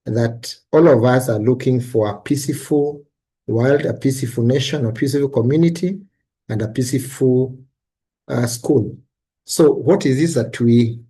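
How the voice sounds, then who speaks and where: Male moderator, hesitant delivery with fillers, mellow dark tone. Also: medium pitch, husky, rough recording. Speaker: session moderator. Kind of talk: online seminar moderation.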